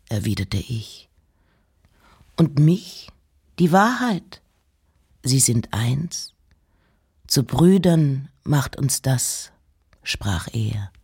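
A woman gives a hushed, measured literary recitation in a husky, breathy voice that often nears a whisper. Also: extremely low pitch. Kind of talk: slow literary recitation of poems and letters.

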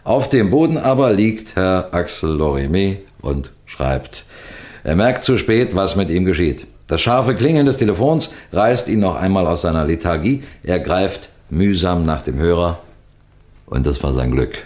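A man acting a shrewd middle-aged police detective in a radio mystery, with a deliberate, lecturing manner that builds suspense through pauses. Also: sits low, expressive, darkish tone. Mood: explanatory. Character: shrewd, unhurried senior police detective explaining a case. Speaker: detective narrator.

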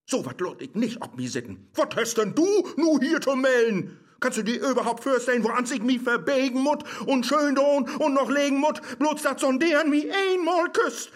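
An actor with a northern German accent playing a crude, scornful, self-pitying suitor in a verse radio play, ranting and whining. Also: male voice, very high-pitched, expressive, moderate pace. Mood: indignant, scornful.

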